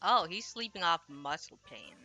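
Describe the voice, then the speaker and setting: A woman's voice: lowish voice, expressive, normal speed, sharp tone, dull audio, faint music behind. A woman streaming a visual novel, reading translated dialogue aloud and adding casual, slangy asides. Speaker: game streamer.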